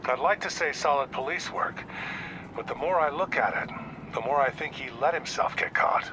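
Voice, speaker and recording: A man's voice: medium pitch, normal speed, noisy background, natural voice. A man voicing an older police detective in a video game, world-weary, guarded and matter-of-fact in his talk. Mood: matter-of-fact, wry.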